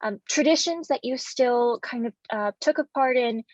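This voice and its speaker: A woman's voice: fairly high pitch, moderate pace, no echo. A young woman born in the United States, interviewing a guest over a video call, polite and hesitant with frequent ums. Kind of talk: interview questions. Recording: dull, lo-fi video-call audio.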